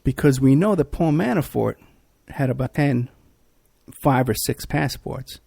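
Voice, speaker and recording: A man hosting a podcast, ranting in an animated, repetitive talk-radio monologue, hoarse and losing his voice. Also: medium pitch, unhurried, dry recording. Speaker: podcast host.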